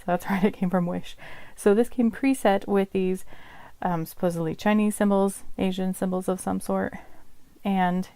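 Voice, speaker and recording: A woman's voice: medium pitch, vocal fry, darkish tone, no room sound. Chatty woman explaining a craft project. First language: Polish. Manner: chatty, explanatory, occasional laughs. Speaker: craft vlogger. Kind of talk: casual tutorial walkthrough.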